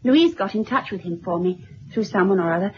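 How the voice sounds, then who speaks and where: An actress playing a lively, worldly young elder sister in a radio drama, with a breezy, gushing, emphatic manner. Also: female voice, mid-range voice, a little fast, a little hiss or hum, lightly acted. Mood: excited, confiding. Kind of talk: period radio drama acting. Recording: dull, muffled old radio recording.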